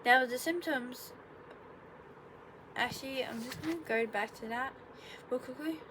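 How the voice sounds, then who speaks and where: A woman's voice: high-pitched, measured pace. A young woman, a bit congested, chatting casually in her bedroom, rambling and correcting herself with sarcastic asides. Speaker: vlogger. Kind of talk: casual explainer vlog. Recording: noticeable room noise.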